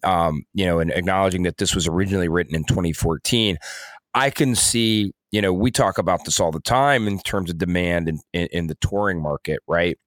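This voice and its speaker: A man's voice: deep voice, a little fast, fairly bright tone, dry recording. A man co-hosting a podcast, talking casually and reflectively as he works through his points. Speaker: podcast co-host. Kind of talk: casual discussion.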